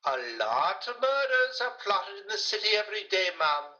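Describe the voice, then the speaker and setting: A woman's voice: medium pitch, big pitch swings, moderate pace, sharp tone. A woman playing a skeptical, placating police sergeant in a 1940s-style radio play, colloquial and patronizing. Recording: slightly dull, lo-fi sound.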